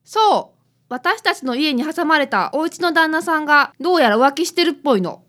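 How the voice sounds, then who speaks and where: A woman's voice: sits high, lively intonation, brisk, fairly bright tone. A woman playing a chatty, meddling housewife. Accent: Kansai.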